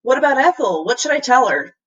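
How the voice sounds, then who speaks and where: A woman voicing a worried young boy in a storybook, polite, earnest and hurried in manner. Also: high voice, big pitch swings, fairly bright tone, slightly rough, far from the mic, lo-fi sound, pitched above the performer's own voice, exaggerated voice. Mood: anxious.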